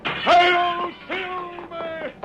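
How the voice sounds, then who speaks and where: A man's voice: very high-pitched, monotone, very slow, loud music behind the voice, full character voice. A man acting a stern, righteous masked western hero in a declamatory 1930s radio style. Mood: rousing call. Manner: stern, clipped, interrogating and declamatory. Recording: dull, noisy old 1930s radio recording.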